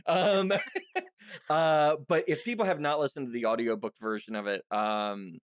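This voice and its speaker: A man hosting a podcast in a casual, chatty style, speaking in a halting way with frequent restarts. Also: on the high side, dry recording, studio quality. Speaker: podcast host and interviewer. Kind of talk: casual interview chat.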